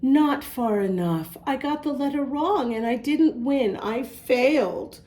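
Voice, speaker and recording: A woman's voice: high voice, lively intonation, slow, darkish tone, pitched above the performer's own voice. A woman voicing a determined, earnest young schoolgirl in a storybook, polite but hard on herself. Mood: dejected.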